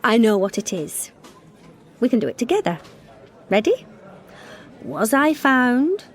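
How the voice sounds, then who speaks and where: Wry young Englishwoman, higher-pitched. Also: big pitch swings. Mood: teasing. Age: young adult. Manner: brisk, teasing, direct. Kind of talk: radio comedy drama acting.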